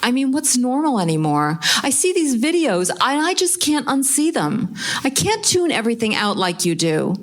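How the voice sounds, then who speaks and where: An actress playing a frazzled, earnest and stubborn wife in a live radio play, with expressive, exasperated delivery. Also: female voice, high-pitched, moderate pace. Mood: troubled, earnest.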